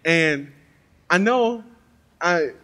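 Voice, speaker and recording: A man's voice: a little high, lively intonation, extremely slow, bright, forceful tone. A man asking a request as a fan at a panel, casual and hesitant. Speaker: fan at the microphone. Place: convention panel room.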